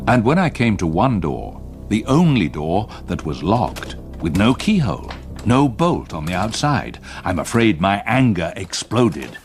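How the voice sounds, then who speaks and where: An actor playing a haunted older solicitor, gravely and formally recounting his ghostly ordeal as radio drama narration. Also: fairly low pitch, big pitch swings, soft background music. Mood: agitated. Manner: grave, deliberate, formal storytelling.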